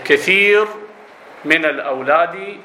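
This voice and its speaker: A man's voice: on the high side, a little slow, bright, forceful tone, roomy sound, a little hiss or hum, low-quality recording. A man with an Iraqi accent teaching a grammar lesson, explaining and quizzing his students, slipping into dialect. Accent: Iraqi Arabic. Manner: explanatory, asks students questions, slips into dialect. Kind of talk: classroom lesson on Arabic grammar.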